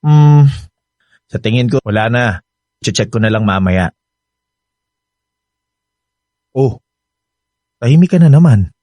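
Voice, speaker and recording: An actor playing a devoted young Filipino boyfriend in a radio drama, sweet-talking, playful and coaxing. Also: male voice, medium pitch, expressive, normal speed, warm tone, dry recording, natural voice. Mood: relieved, casual. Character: devoted, reassuring young boyfriend heading abroad.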